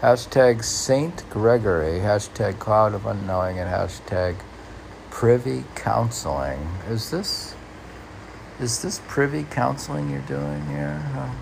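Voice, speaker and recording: A man reading his own poetry and adding rambling casual asides in a musing, halting manner. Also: lowish voice, animated, creaky, noisy background.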